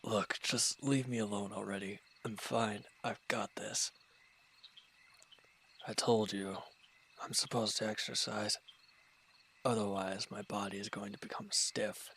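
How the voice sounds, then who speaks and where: A man plays a proud villain laid low by his wounds and stuck as a reluctant tenant. His voice sits fairly low, and he talks in curt, irritable bursts. It is an audio drama role, bitter and dismissive throughout. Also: steady tone, measured pace, pauses often, fairly bright tone, slight background noise. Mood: irritated, defensive. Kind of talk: dramatic roleplay audio monologue.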